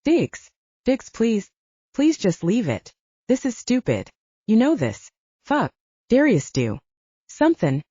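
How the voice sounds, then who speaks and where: A woman's voice: on the high side, huge pitch swings, slow pace, long pauses, warm tone, slightly rough, no room sound, highs cut off, pitched above the performer's own voice, heavily acted. A woman voicing an anxious male werewolf peacekeeper in an audiobook, urgent and exasperated. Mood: pleading. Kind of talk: audiobook character dialogue.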